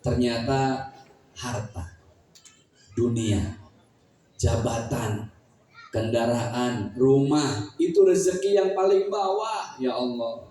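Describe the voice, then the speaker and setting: A man's voice: mid-range voice, slow, pauses often, warm tone, a little hiss or hum. A man preaching with a Betawi accent at a community gathering, joking and teasing the audience with questions. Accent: Betawi Malay. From Jakarta, Indonesia. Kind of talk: humorous religious talk at a community gathering. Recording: echoey, distant hall sound.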